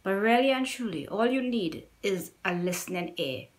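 A woman's voice: mid-range voice, lively intonation, normal speed. A middle-aged woman from Trinidad and Tobago with a Trinidadian accent, sharing a personal story to camera at home in an earnest, pleading way and repeating points for emphasis. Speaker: YouTuber. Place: small indoor room at home.

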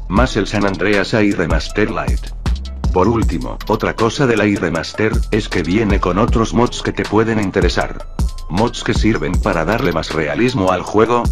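A man in his thirties, a gaming YouTuber, calmly explaining things in voice-over narration. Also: on the low side. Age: 30s. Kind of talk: voice-over narration over gameplay footage. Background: background music.

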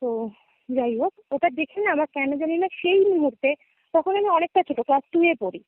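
Young female caller, West Bengal Bengali accent, high register, casual storyteller, tinny phone audio. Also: lively intonation, fairly quick, no room sound. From West Bengal, India. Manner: conversational, checks in with listener often. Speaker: guest caller. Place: remote call, dry sound.

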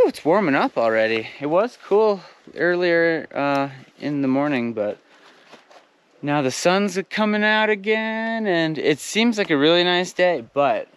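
A man's voice: medium pitch, clean tone. Easygoing man vlogging outdoors. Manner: relaxed, filler-heavy, chatty. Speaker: vlogger, thru-hiker. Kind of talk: casual vlog chat. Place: outdoors at a mountain tent site.

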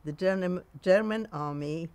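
A woman in her nineties with a Polish accent, recounting memories in a halting way in a sunny garden. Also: on the low side, a little mellow. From Poland. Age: 90s. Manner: halting, with frequent pauses and restarts. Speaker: interviewee.

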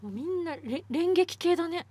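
A woman's voice: very high voice, expressive, moderate pace, dark tone. A woman co-hosting a radio show with casual chat and presenting.

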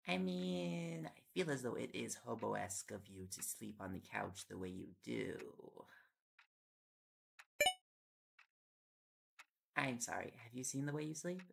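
Man acting a gravelly, affectionate young boyfriend. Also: medium pitch, lively intonation, moderate pace. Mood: playful indignation. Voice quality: gravelly, creaky. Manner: playful coaxing, chatty. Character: affectionate, teasing young male reporter boyfriend. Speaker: audio roleplay voice actor. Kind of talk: intimate boyfriend audio roleplay, sleep aid. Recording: loud background noise with clicks. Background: ticking and clicking sounds.

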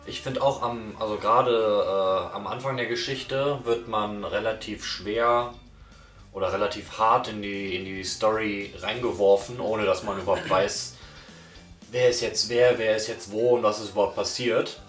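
A man giving his opinion in a casual review chat, relaxed with fillers. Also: fairly low pitch, moderate pace, echoey room, recorded from a distance, faint music behind. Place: small living room, on a sofa. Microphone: far camera.

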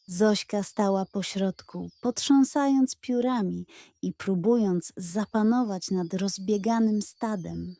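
Slow-paced woman storyteller for children. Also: medium pitch, dry recording. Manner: expressive, unhurried storybook delivery. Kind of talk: scripted narration of a children's audio tale.

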